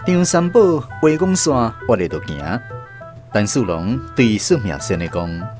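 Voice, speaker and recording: A man's voice: on the low side, very animated, unhurried, over music. A man narrating a radio drama in a scripted storytelling style.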